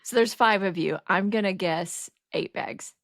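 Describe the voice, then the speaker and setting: A woman's voice: mid-range voice, creaky, fairly bright tone, no echo. Teasing woman podcaster, dry sound. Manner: teasing, quick questions. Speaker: podcast co-host. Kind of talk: casual chat.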